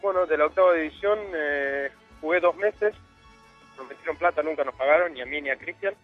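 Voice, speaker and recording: A man's voice: a little high, a little fast, narrow, tinny audio, soft background music. A young man from Argentina with an Argentine Spanish accent, telling his story as a guest in a casual interview, rambling with many fillers. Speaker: guest footballer.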